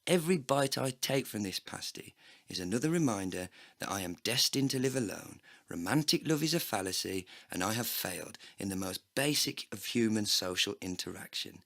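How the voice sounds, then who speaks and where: An actor playing a bitter, heartbroken, foul-mouthed man, narrating a scripted comedy audio play in a sardonic, deadpan way. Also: lowish voice, moderate pace, vocal fry, no room sound, clean studio sound, heavily acted. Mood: ranting, despondent.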